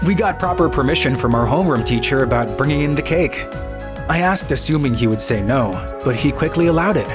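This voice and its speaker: A man's voice: mid-range voice, expressive, a little fast. A man playing an earnest, kind schoolboy who narrates his own story in scripted animation style. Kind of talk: scripted narration and voiced dialogue. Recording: music behind the voice.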